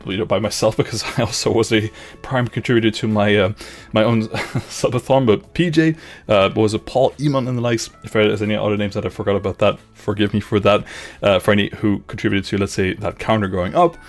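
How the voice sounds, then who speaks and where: A man's voice: on the low side, steady tone, brisk. A young man with a Dutch-Flemish accent streaming casually from his home gaming room, laughing often and repeating himself as he reads chat aloud. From grew up in Luxembourg, Dutch parents, lives in Belgium. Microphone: studio mic on boom arm, headphones.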